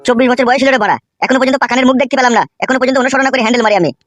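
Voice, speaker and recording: A man's voice: very high-pitched, rapid-fire, a bit bright, no room sound, studio quality. A man voicing a foul-mouthed young cartoon villager, complaining crudely and emphatically. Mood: aggrieved. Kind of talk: comic Bengali cartoon dialogue.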